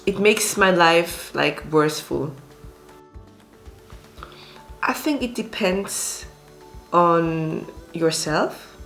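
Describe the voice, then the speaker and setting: Slow-talking woman from Switzerland. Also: on the low side, vocal fry, a bit bright, faint music behind. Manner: speaks slowly, with many fillers and restarts. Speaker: restaurant owner and actress. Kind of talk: casual interview talk.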